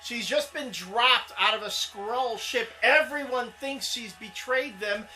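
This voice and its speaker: Bright-voiced man rambling about comics. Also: very high-pitched, takes it slowly, faint music behind. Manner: rambling, repeats and restarts, defends his view. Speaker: co-host. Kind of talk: casual comic book discussion.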